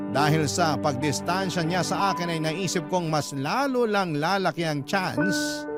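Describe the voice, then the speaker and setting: A man with a Filipino accent narrating a scripted first-person story for radio drama. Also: on the high side. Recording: prominent music bed under narration.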